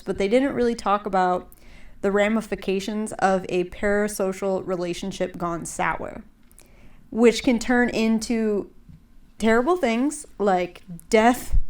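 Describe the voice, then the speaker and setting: A woman's voice: medium pitch, unhurried, darkish tone. A young adult woman hosting a podcast in casual chat, a little congested, rambling with many fillers. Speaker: podcast host.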